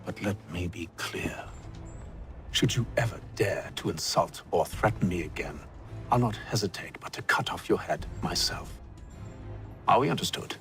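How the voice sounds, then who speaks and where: Game cutscene gentleman: low voice, polite but sardonic and haughty, over prominent music. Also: expressive, normal speed. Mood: sardonic, stern. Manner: formal, polite, dryly sardonic. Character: haughty, composed gentleman agent, male, coolly menacing. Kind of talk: video game cutscene acting. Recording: loud music behind the voice.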